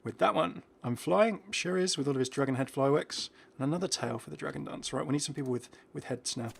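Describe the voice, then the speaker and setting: Male gaming host, medium pitch, chatty off-the-cuff commentary, thinks out loud as he goes. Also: quick pace, gravelly, husky. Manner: chatty, musing aloud, breaking off mid-thought. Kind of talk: casual unscripted commentary on game content.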